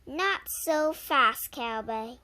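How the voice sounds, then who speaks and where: A woman's voice: sits high, animated, very slow, pushed and bright, heavily acted. A young girl reading the part of a feisty, boastful cowgirl in a tall tale, with folksy, defiant Western talk.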